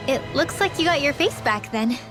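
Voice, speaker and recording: A woman's voice: high voice, lively intonation, normal speed, over music. A woman playing an earnest, chatty teenage girl companion in a fantasy game, casual and concerned. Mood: chatty, explaining. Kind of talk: video game voice acting.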